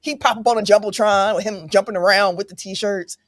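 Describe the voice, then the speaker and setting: A woman from Milwaukee hosting a casual sports podcast, losing her voice after an illness, telling a story with animated energy and laughs. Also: medium pitch, brisk, no echo, clean studio sound. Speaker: podcast host.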